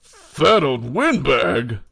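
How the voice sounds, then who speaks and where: Stern male teacher, acted by a man, creaky mid-pitched voice, sardonic and formal, anime audio play, dry sound. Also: sing-song, drawn-out words, bright, forceful tone, no echo, exaggerated voice. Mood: stern, curt. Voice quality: gravelly. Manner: formal, cutting. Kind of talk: amateur anime audio drama acting.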